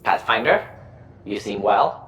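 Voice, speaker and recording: A man's voice: on the low side, very animated, measured pace, a bit bright, a little hiss or hum. A man playing a dignified alien official in a video game, with formal, precise, stately phrasing. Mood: formal, conciliatory. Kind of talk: video game dialogue acting. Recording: roomy echo with music underneath.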